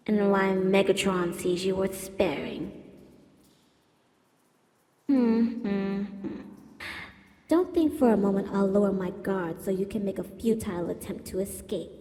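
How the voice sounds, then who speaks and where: A woman's voice: medium pitch, dark tone, natural voice. A woman playing a villainous female spider robot in an ASMR roleplay, a seductive, menacing captor who talks in a haughty, taunting purr. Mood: haughty, suspicious. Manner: slow, purring, haughty and taunting. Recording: echoey hall-like reverb.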